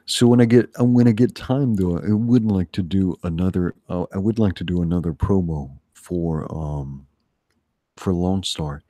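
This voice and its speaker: A man with a very low, mellow voice talks casually on a livestream. His phrasing is informal and repetitive, and the sound is close and dry. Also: normal speed, no room sound.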